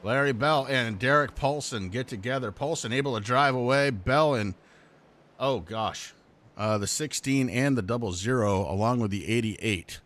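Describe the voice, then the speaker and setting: A man with a Vermont accent handles play-by-play for an online racing broadcast. His pitch sits in the middle range, and it jumps high and loud when cars crash. In between he laughs easily with his co-host. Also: moderate pace. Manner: excited pitch jumps when calling wrecks. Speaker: play-by-play commentator. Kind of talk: sim racing commentary.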